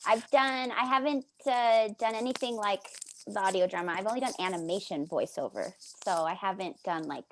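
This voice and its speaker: A woman's voice: mid-range voice, normal speed, a little hiss or hum. A woman with a distinctive, youthful, almost childlike voice, chatting casually as an actress on a panel. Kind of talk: casual chat.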